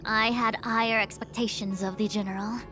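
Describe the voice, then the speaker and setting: A woman's voice: fairly high pitch, fairly even intonation, fairly quick, sharp tone. An actress playing a proud, stern, impatient lady official in a video game, speaking formally and with reproach. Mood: indignant, reproachful. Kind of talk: scripted video game dialogue. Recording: background music under the voice.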